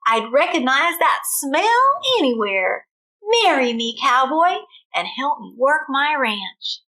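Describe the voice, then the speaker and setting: A woman's voice: high-pitched, very animated, slow pace, sharp tone, full character voice, poor audio. A woman voicing a bold, gushing young cowgirl rancher with folksy Western phrasing for a storybook. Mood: determined, adoring.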